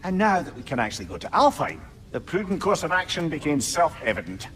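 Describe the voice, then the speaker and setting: A man's voice: fairly high pitch, sing-song, fast, fairly bright tone, in a large hall, soft background music. An actor playing a wry, learned old advisor in a video game, eloquent and old-fashioned in his wording. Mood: assured, explanatory. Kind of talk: cinematic video game acting.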